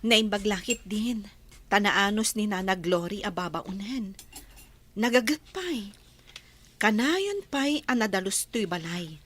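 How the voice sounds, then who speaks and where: A woman's voice: mid-range voice, animated, takes it slowly, fairly bright tone. A woman with an Ilocano accent playing an appreciative employer of a household helper in a television drama. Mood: pleasant, appreciative.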